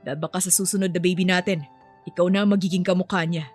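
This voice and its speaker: A woman's voice: lowish voice, steady tone, fast, faint music behind, close to an everyday voice. A woman voicing an easygoing, affectionate young husband and father in an audio story, with a coaxing manner. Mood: teasing, soothing.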